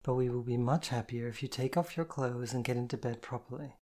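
Reader doing a firm caring woman, measured, gently commanding, steady. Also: mid-range voice, natural voice. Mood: soothing, stern. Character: firm, caring dominant woman, calm and stern.

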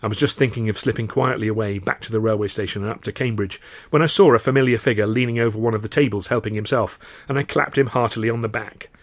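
A man with an English accent reads his own novel aloud in measured, first-person storytelling. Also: fairly low pitch, no room sound. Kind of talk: dramatised audiobook narration.

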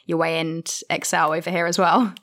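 A woman's voice: on the low side, little pitch movement, moderate pace, fairly bright tone. A woman interviewing a guest, asking long and open questions in an encouraging way.

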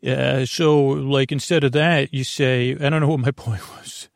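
A man's voice: mid-range voice, steady tone, measured pace, no room sound, clean studio sound. A man hosting a bedtime podcast in a meandering, hesitant, self-deprecating monologue that keeps drifting off on digressions. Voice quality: creaky, gravelly. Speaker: podcast host. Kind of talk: rambling bedtime monologue to listeners.

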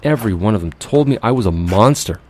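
A man playing a cold, fastidious, obsessive recluse in an audio drama monologue, speaking in a precise, deliberate, brooding way. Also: fairly low pitch, animated, darkish tone, a little hiss or hum, lightly acted. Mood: tormented, confessional. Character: cold, fastidious, obsessive male recluse confessing monstrous urges.

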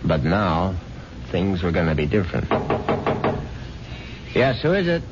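A man's voice: low voice, big pitch swings, a little slow, very noisy. A man acting a wry, jittery, well-to-do philandering husband in a radio drama, with an urbane, theatrical delivery. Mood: exasperated. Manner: urbane, theatrical, stammering when uneasy. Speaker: radio drama actor, narrator-protagonist. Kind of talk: 1970s radio drama acting, first-person narration and dialogue. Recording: dull lo-fi 1970s radio recording.

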